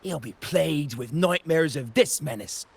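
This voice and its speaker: Raspy, high-pitched pirate henchman. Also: lively intonation, normal speed, exaggerated voice. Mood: pleading, scheming. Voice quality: raspy, gravelly. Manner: theatrical, exaggerated storytelling. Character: boastful, cowardly, greedy male pirate henchman spinning sea legends. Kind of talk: video game character acting.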